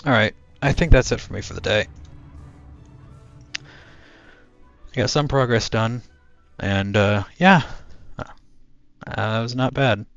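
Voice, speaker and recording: A young man streaming a flight game, chatting to his viewers in a low-key, monotone voice. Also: takes it slowly, gravelly, long pauses. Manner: low-key, talks to chat while playing. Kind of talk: casual gaming stream chat. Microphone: cheap headset mic. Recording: dull headset mic with game music.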